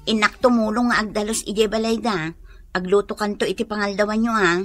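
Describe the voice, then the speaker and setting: An actress playing a scolding, long-suffering village wife with an Ilocano accent in a radio drama. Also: female voice, medium pitch, fairly even intonation, fairly quick, dry recording, soft background music, lightly acted. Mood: weary.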